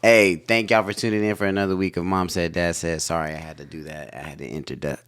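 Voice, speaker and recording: A man's voice: low-pitched, fairly even intonation, quick pace, fairly bright tone, clean tone. A young man co-hosting a casual family podcast, joking around with playful slang. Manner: playful, hype-style slang. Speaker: podcast co-host. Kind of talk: casual chat.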